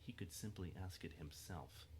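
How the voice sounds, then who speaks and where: A man's voice: low voice, moderate pace, background noise. A man delivering dramatic scripted narration as the narrator of an audio drama.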